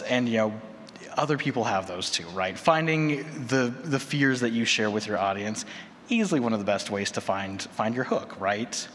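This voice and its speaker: A man's voice: mid-range voice, fairly bright tone. Man hosting a panel in an echoey hall. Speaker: panel host. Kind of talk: conference panel presentation. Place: large indoor conference session room. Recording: echoey hall, distant room noise.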